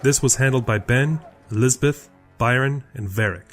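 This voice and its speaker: A man's voice: mid-range voice, moderate pace, fairly bright tone. Male story narrator over music. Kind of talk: scripted narration of a fantasy audio drama.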